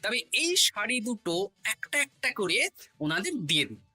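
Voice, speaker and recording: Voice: mid-range voice, sing-song, moderate pace, bright, forceful tone, soft background music, exaggerated voice. An actor playing a clever, witty male court jester in a cartoon, sly and confident as he explains things. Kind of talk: cartoon voice acting.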